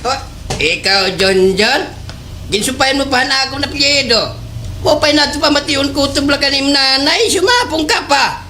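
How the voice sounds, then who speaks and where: An actor playing a touchy, officious village captain with a Waray accent in a slapstick radio comedy, scolding and giving orders. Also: male voice, very high voice, normal speed, bright, forceful tone, slight background noise. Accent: Waray, Eastern Visayas Philippines.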